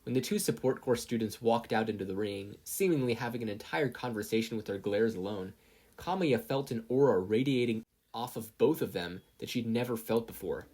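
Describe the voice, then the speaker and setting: A narrator with a husky voice reading fan fiction as scripted audiobook-style narration. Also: medium pitch, a little mellow.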